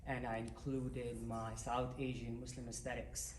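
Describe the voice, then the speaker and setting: A man's voice: mid-range voice, little pitch movement, a little slow. A man from South Asia in a theater audience, giving a long, rambling comment full of fillers. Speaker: audience member. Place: theater auditorium. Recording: roomy theater with hiss.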